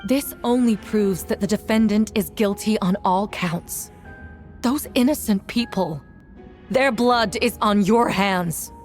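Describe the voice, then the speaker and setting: A woman's voice: medium pitch, slow, a little mellow, natural voice. A woman voicing a stern, formal prosecutor in a fantasy video game, pressing her case with pointed accusation. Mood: triumphant, accusing. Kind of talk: dramatic video game voice acting. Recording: music behind the voice.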